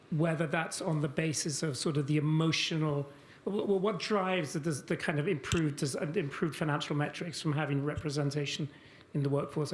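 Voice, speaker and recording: A man's voice: a little high, roomy sound. A man in the audience of a conference, hesitantly asking a question and stumbling over his words. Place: conference hall.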